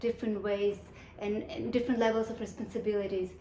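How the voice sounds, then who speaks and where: Woman in her 40s from kazakhstan, even flat-ish tone, lots of ums, echoey room with a little hiss. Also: medium pitch. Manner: measured, thoughtful, many ums and restarts. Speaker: guest, UN section chief. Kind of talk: interview answers.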